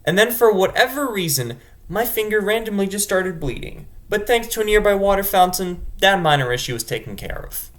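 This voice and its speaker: A man's voice: sits high, lively intonation, brisk, few pauses, a bit bright. A man narrating his vlog in scripted voice-over, wry and self-deprecating. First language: English. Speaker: vlogger narrator. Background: background music.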